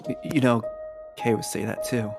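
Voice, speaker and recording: A man's voice: mid-range voice, normal speed, gravelly, a little mellow, soft background music, natural voice. A man playing an earnest, awkward, honor-bound young clansman, speaking tersely and hesitantly in game dialogue. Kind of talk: fan-performed game script, dramatic dialogue.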